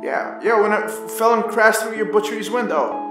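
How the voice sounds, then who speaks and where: An actor playing a sardonic, anxious, foul-mouthed young male survivor who narrates in first person for an audio drama, often halting and stammering. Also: sits high, roomy sound, over music, lightly acted. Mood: excited, insistent.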